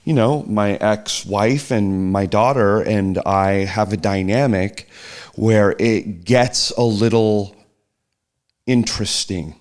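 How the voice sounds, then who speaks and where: Slow, low-voiced man talking things through. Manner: hesitant, restarts and trails off, soft-spoken reflection. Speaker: podcast guest, host's partner. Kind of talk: casual podcast chat.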